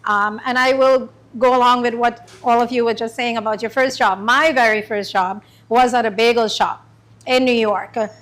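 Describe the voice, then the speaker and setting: A middle-aged woman from Trinidad and Tobago giving a chatty, informal talk about her business to a small group, with many pauses and ums. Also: a little high, fairly even intonation, fairly bright tone. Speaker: small business owner presenting. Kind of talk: informal pitch presentation. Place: meeting room.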